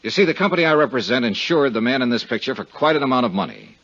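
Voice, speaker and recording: Persistent, courteous radio investigator of the 1950s: husky, animated delivery, cool temper, lo-fi vintage sound. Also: male voice, mid-range voice, very fast, heavily acted. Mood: firm, probing. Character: cool, persistent, polite male insurance investigator. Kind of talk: 1950s radio detective acting and first-person narration. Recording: dull 1950s radio recording.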